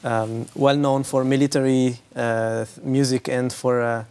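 A man's voice: mid-range voice, flat delivery, unhurried, darkish tone, smooth. A man in his thirties, a musician, answering interview questions with many fillers and restarts. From Lebanon. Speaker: musician guest. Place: bright room with big windows, indoors. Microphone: lapel.

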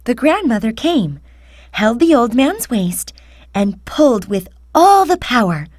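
Female storyteller for children's fairy tales: high, lively delivery, careful enunciation. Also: no room sound, studio quality. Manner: slow, careful, deliberate enunciation. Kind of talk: scripted children's fairy tale narration.

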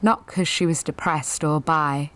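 A woman's voice: low voice, steady tone, a little slow, fairly bright tone, a little hiss or hum. An actress in her twenties playing a jaded, sweary, sensitive gallery attendant in a confessional audio drama monologue, wry and self-deprecating. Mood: bitter, resigned. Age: 20s.

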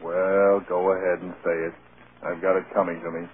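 An actor playing a charming, self-mocking, hard-drinking film star in a flippant old-time radio drama style. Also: male voice, low-pitched, flat delivery, normal speed, slight background noise, natural voice. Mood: wry, weary. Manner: flippant, wry. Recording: tinny vintage radio broadcast with music.

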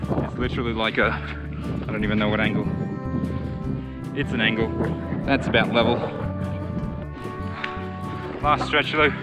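A man's voice: fairly low pitch, steady tone, unhurried, pauses often, over loud music. A young man giving dry, jokey commentary to a handheld camera outdoors on a mountain, with wind across the mic. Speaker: travel companion. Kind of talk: casual travel vlog chat. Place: outdoors on a windy snowy mountain. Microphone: handheld action camera.

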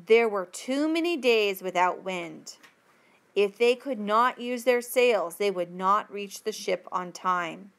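A woman reading a textbook passage aloud as a teacher would, with careful, deliberate phrasing. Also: mid-range voice, animated.

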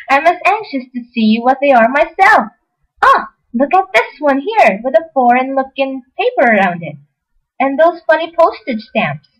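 A woman's voice: high-pitched, sing-song, measured pace, fairly bright tone, exaggerated voice, low-quality recording. A woman playing a doting, fussy motherly figure in an amateur radio play, effusive with homely exclamations. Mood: eager, fond. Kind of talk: old-time radio play acting by an amateur.

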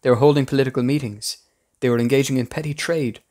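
A man's voice: medium pitch, steady tone, quick pace, a little mellow. A man reading a scripted history narration as the host of a podcast.

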